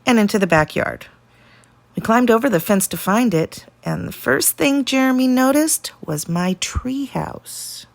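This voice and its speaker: A woman narrates a children's storybook with animated, dramatic emphasis. Her voice has a noticeable creak, and she stretches out important words as a teacher would for young listeners. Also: lowish voice. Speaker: teacher reading a picture book aloud.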